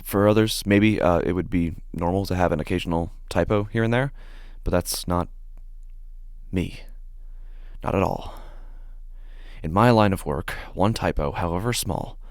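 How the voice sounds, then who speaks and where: A man playing an anxious, meticulous office worker, narrating a horror story in the first person in a halting, deliberate way. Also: low voice, no room sound, studio quality. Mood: agitated, defensive. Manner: halting, deliberate, stammers when agitated. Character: anxious, meticulous male office worker unsettled by his typos.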